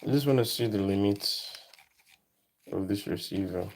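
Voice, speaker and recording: A man giving a casual, unscripted electronics demonstration, thinking aloud in short, halting phrases. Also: lowish voice, gravelly. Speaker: electronics hobbyist presenter.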